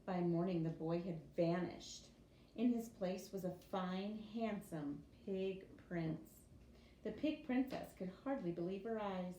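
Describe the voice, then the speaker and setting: A woman's voice: on the low side, unhurried. Woman reading a storybook in an echoey room. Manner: animated storytelling with acted character voices. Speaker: teacher reading a picture book to young pupils. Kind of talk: expressive children's storybook read-aloud. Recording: echoey room with clear background noise.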